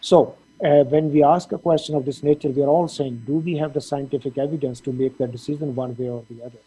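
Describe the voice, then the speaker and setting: A middle-aged man from Punjab, India, a dean giving measured interview answers with frequent fillers. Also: medium pitch, fairly even intonation, dark tone, slightly rough, poor audio. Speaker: dean of a veterinary faculty.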